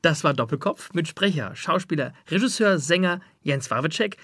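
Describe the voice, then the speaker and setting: Male radio presenter, fairly high voice, articulate and polite announcements, dry close studio recording. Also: lively intonation, moderate pace, a bit bright, dry recording. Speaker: radio host, interviewer.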